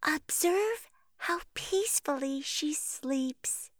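A prim older fairy speaks in a very high, measured voice. She is exact, formal and fussy, a figure from a fairytale audio play. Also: expressive, dry recording, clean studio sound. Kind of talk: fairytale audio drama acting.

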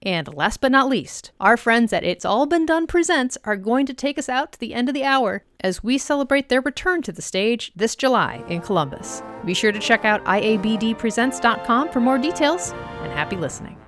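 A woman hosting a radio show, reading announcements in a friendly, clear way. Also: fairly high pitch, very animated, normal speed, hardly any pauses, a bit bright, faint music behind. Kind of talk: program announcements.

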